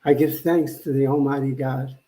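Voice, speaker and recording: A man's voice: medium pitch, fairly even intonation, normal speed, mellow. A man speaking as a minister, informally leading a spiritual service and its affirmative prayer.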